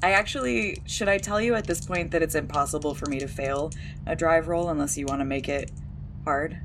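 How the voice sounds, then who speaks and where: Female tabletop player, slightly low voice, casual rambling table talk full of asides. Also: brisk, background noise. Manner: rambling, piles up questions and asides.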